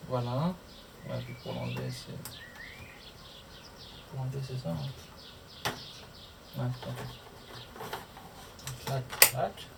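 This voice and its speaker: Man, slow delivery, casual tutorial talk, muttering to himself, hands-on vlogger, clear background noise. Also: medium pitch, huge pitch swings, far from the mic. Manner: muttering to himself while working through steps. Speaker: motorcycle vlogger.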